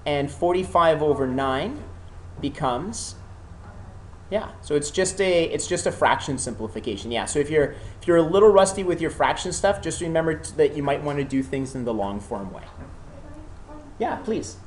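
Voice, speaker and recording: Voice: medium pitch, animated, normal speed, slight background noise. A math teacher who has a cold gives a classroom lecture, often checking in with students and encouraging them.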